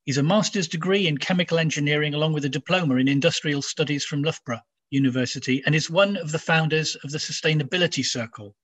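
A man from Nigeria hosting a podcast interview, measured and trailing into low asides. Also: a bit bright, slightly rough. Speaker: podcast host.

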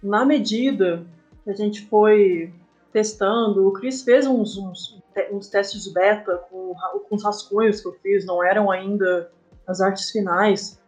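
A Brazilian woman talks casually as an interview guest. Her voice sits at an average pitch, and she explains things thoughtfully in short, halting phrases. Soft music runs behind her. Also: rough recording. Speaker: interview guest, comic artist and game writer. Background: background music.

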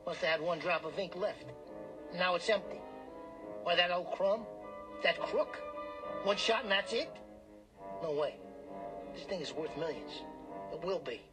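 An actor playing a desperate, greedy small-time gambler, brash and pushy, in a radio drama. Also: male voice, sits high, lively intonation, measured pace, long pauses, music in the background. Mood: angry, bitter. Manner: brash, wheedling, slangy, bullying.

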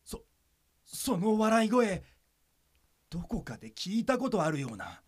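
A man playing an excitable, earnest younger brother in a comedic radio drama, with exaggerated delivery. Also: sits high, a little slow, breathy edge, full character voice. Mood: flustered. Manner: exaggerated, earnest, breaks into yelps and stammers.

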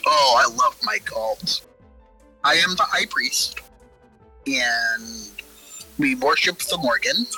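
An actor playing a zealous, bloodthirsty vampire cult high priest in an improvised audio drama, with halting, relishing speech. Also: expressive, bright, forceful tone, breathy edge, soft background music, heavily acted, rough recording. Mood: proud, devoted. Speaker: roleplayer in an interview audiodrama.

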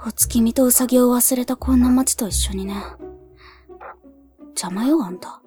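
A woman voicing a bitter, spiteful female rabbit spirit in a radio drama, speaking in cold, breathy half-whispers. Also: a little high, moderate pace, mellow, soft background music. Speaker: rabbit character (ウサギ). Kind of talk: anime-style radio drama acting.

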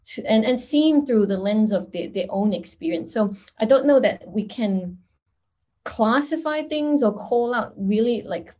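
A woman's voice: a little high, lively intonation, mellow. Thoughtful woman from Singapore. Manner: thoughtful, measured, with frequent "you know". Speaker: guest. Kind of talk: conversational interview.

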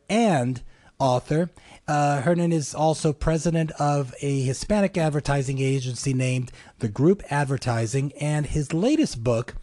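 A man's voice: on the high side, dark tone, dry recording. A man hosting a talk show, chatty and loose, with many fillers and restarts. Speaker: host.

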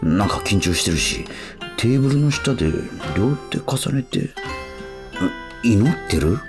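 Radio drama lead: cynical young street musician, low, lively delivery, blunt muttered asides. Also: male voice, a little slow. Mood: puzzled, wry. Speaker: narrator and lead character. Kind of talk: first-person radio drama monologue. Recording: acoustic guitar music behind.

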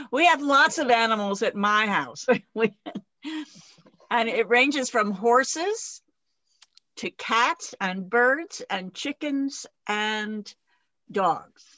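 A woman hosting an interview, upbeat and quick to laugh as she asks her guests questions. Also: mid-range voice, sharp tone, dry recording. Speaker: interview host.

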